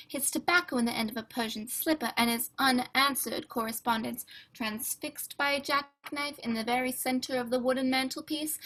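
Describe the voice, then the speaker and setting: A woman's voice: high-pitched, pushed and bright, low-quality recording. A woman playing a fussy, tidy Victorian male doctor who narrates and scolds his untidy friend in a radio play. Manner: prim and fussy, exasperated scolding. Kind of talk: amateur radio play acting.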